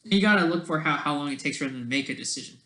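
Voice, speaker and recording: Casting: amateur vlogger, medium pitch, rambling unscripted commentary, restarts sentences and checks in with "right?". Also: racing, sharp tone. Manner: tags statements with "right?"; rambling, trails off mid-sentence. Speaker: amateur video creator.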